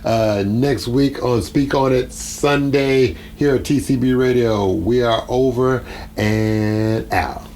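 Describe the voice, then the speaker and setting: A man hosting a casual radio interview in a halting, deliberate, appreciative manner, sometimes repeating words. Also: medium pitch, darkish tone, slight background noise. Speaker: radio show host.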